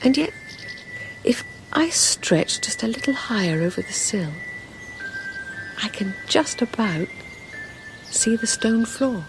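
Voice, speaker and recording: An actress playing a haunted, fretful wife in a radio drama, in a hushed, halting, dreamy monologue. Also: female voice, on the high side, lively intonation, over music, low-quality recording. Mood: awed, dreamy. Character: haunted, fretful wife recounting an eerie vision.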